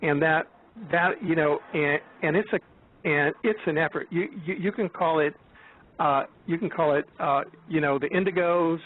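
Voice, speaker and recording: An American man of seventy talking in a rambling, halting way in an interview, often restarting and repeating his words. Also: a little high. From United States, born in Florida. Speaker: guest, author and researcher. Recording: tinny phone-like line, dry.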